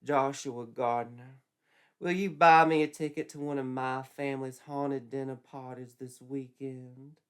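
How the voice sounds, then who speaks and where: Teenage Southern-tale heroine, played by a man: raised pitch, slow drawn-out lines, dramatic and coy. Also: fairly even intonation, smooth, lightly acted. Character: dramatic seventeen-year-old girl, weary and coy.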